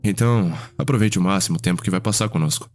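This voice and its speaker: A man with a Brazilian accent playing a courteous, reflective vampire who tells his clan's history in a measured ASMR roleplay. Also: low-pitched, faint music behind, natural voice. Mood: courteous, welcoming.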